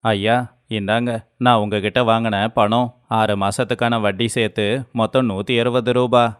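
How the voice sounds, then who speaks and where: A man's voice: on the low side, steady tone, normal speed, clean tone, no room sound, clean studio sound, close to an everyday voice. A man playing a poor, humble village labourer and devoted husband in a moral story, with a pleading, deferential manner. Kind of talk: dramatized moral story voice acting.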